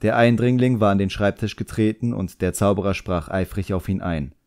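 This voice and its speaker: A man's voice: on the low side, brisk, a little mellow. A man narrating a fantasy novel as a scripted audiobook, in a storytelling style.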